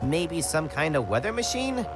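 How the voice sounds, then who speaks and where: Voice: medium pitch, very animated, normal speed, over music, close to an everyday voice. A performer voicing a cocky, easygoing young male hedgehog hero in a cartoon comic dub. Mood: breezy, confident.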